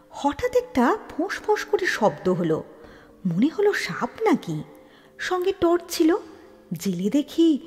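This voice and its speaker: A woman narrating a literary story in a dramatic, expressive manner, with deliberate pauses and emphasis. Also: medium pitch. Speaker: storyteller reading an audio story. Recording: long hall-like reverb on the voice.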